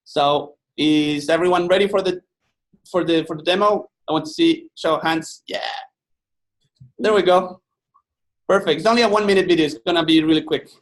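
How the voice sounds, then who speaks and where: Man, Spanish accent, mid-high voice, relaxed webinar presenter, lots of fillers, computer mic. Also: moderate pace. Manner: relaxed, many fillers and restarts. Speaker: software company presenter. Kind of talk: webinar product demo.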